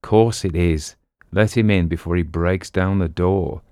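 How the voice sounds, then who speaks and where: A man's voice: deep voice, unhurried, warm tone, smooth, dry recording, clean studio sound. A man voicing a clever, pompous con man in an audiobook, breezy and bossy. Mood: wry. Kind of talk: audiobook character voice.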